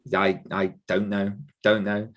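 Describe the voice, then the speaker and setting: Man, thirties, slightly low voice, casual podcast talker with a rambling, self-deprecating delivery. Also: monotone, a little slow, a bit bright. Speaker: podcast host and author telling his own story. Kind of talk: casual reflective podcast conversation.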